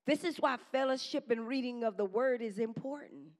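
High-voiced woman preaching to her church. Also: normal speed. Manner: conversational preaching with call-and-response asides; says she has a strong voice. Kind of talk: sermon.

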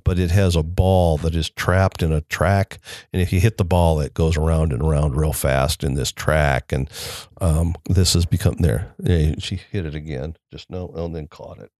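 A man's voice: sits low, fairly even intonation, normal speed, darkish tone, no echo, clean studio sound. A man hosting his own unedited solo podcast from home, talking through many fillers and restarts. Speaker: podcast host. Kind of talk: unscripted solo podcast talk. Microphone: close desk mic.

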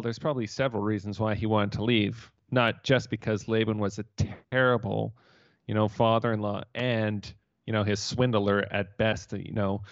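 A man's voice: medium pitch, no echo. Male podcast co-host, slightly dull audio. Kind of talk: casual podcast chat. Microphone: remote computer call.

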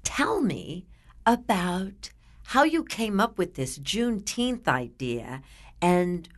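A woman's voice: mid-range voice, very animated, slow pace. A woman hosting a radio interview in a conversational way, putting questions and remarks to her guest. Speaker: interview host.

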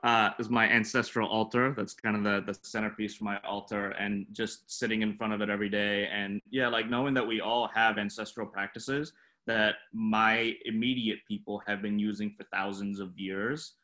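A man's voice: lowish voice, little pitch movement, normal speed, pushed and bright. Earnest man on a panel. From Japan. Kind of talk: panel discussion.

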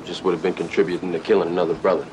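A man's voice: low voice, level tone, rapid-fire, noisy background, lightly acted. An actor playing a stern, weary father in a film drama set in a tough neighbourhood.

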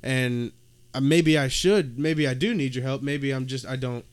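A man's voice: medium pitch, steady tone, normal speed, clean tone. A man in his early twenties with an American accent, chatting casually and informally as a podcast host, often repeating himself. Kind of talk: casual chat.

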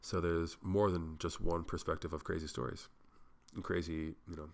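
A man's voice: deep voice, level tone, moderate pace, gravelly. A young man hosting a solo podcast, rambling casually and swearing, sounding a little congested. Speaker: podcast host.

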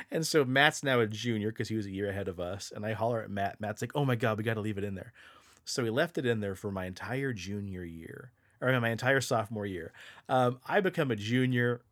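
A man's voice: medium pitch, a little fast, fairly bright tone, dry recording, studio quality. A young man co-hosting a podcast, telling a long story in a loose, casual way, laughing and swearing as he goes. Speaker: podcast co-host.

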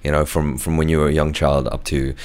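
A man, husky from a cold, talking as a podcast guest with many fillers and restarts. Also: a bass voice, little pitch movement, a little fast, a little mellow. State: has a cold. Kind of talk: casual podcast chat.

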